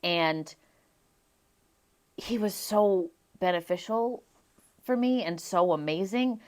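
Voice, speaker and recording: A young adult woman from Las Vegas, a singer being interviewed, telling her stories in an animated way and laughing often. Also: lowish voice, takes it slowly. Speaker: guest singer. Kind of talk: casual interview chat.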